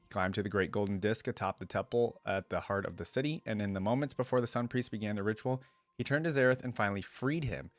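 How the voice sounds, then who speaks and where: A man's voice: fairly low pitch, fast, no room sound. A man hosting a reaction video, reading lore aloud and adding casual, musing commentary. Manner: informal, musing asides.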